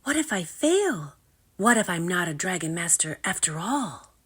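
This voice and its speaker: A woman's voice: on the low side, very animated, normal speed, a bit bright, breathy edge, voice pushed up, exaggerated voice. A woman voicing an earnest, wondering peasant boy in a children's storybook reading. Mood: worried. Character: earnest, nervous peasant boy, awed young dragon master.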